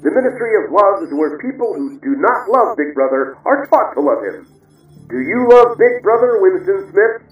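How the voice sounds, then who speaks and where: A man's voice: high-pitched, very animated, normal speed, muffled recording. Male actor as menacing telescreen interrogator, distant, echoing, commanding, stern, radio drama, music underneath. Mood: coldly menacing.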